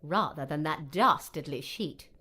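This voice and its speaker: A woman's voice: low voice, lively intonation, measured pace, sharp tone, slightly rough, heavily acted. A woman playing a motherly old tailor in an audiobook, fussing and chiding but caring. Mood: warm, welcoming. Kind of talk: dramatised audiobook character dialogue.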